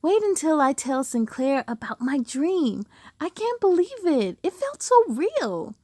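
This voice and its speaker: A woman voicing an excitable, dramatic fifth-grade schoolboy who whines when upset in a children's storybook. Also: sits high, measured pace, no echo, clean studio sound. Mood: excited, disbelieving. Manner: exclamatory, whiny when upset.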